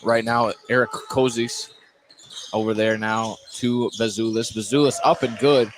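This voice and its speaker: A man's voice: medium pitch, fairly even intonation, a little slow. A man doing live radio play-by-play of a basketball game in clipped phrases. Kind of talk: live sports radio commentary. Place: indoor basketball gymnasium. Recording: noisy gym ambience, bouncing basketballs. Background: gym noise and basketball bounces.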